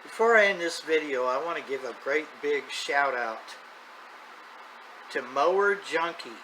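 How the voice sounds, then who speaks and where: A man's voice: a little high, expressive, a little slow, pushed and bright, low-quality recording. Middle-aged man, casual talk over background noise. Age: middle-aged or older. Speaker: hobby radio video host. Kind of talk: casual unscripted vlog monologue. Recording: clear background noise, ambient sound.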